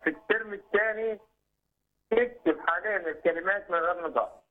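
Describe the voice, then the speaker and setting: A middle-aged Egyptian man on a thin telephone line, with a high, rough-edged voice. He talks rapidly as a caller explaining a problem and seeking advice. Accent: Egyptian Arabic. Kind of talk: phone consultation.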